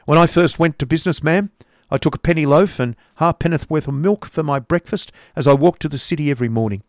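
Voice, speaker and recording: A man's voice: medium pitch, lively intonation, moderate pace, dry recording, clean studio sound, pitched above the performer's own voice, natural voice. A man voicing a cold, miserly older uncle from a novel, biting and emphatic, testy and sarcastic.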